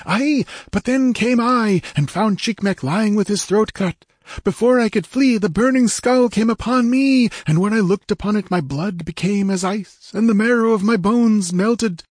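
A man voicing an excitable warrior in a fantasy audiobook, declaiming fervently in archaic phrases about bloody vengeance. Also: high-pitched, moderate pace, dry recording, voice pushed up. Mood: fearful, dramatic. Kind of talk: audiobook fantasy adventure character.

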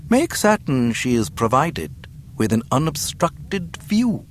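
A man's voice: medium pitch, huge pitch swings, a little slow, very noisy, pitched above the performer's own voice. A man voicing a cold, smug imperial governor in a storybook reading, pompous, precise and mockingly courteous. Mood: smugly cruel.

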